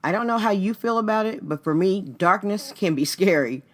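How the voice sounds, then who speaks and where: A woman's voice: mid-range voice, animated, moderate pace. A woman hosting a radio show as a life coach, speaking earnestly and directly to the listener in a scripted but conversational motivational style. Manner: earnest, direct address to the listener with rhetorical questions.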